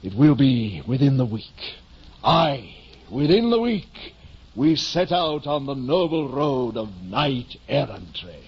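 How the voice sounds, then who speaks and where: An actor playing a delusional, grandiose gentleman knight near fifty, speaking lofty archaic English in a 1950s radio drama. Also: medium pitch, sing-song, takes it slowly, breathy edge, full character voice. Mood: pompous, planning. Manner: lofty, archaic, pompous speech. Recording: muffled vintage 1950 radio broadcast.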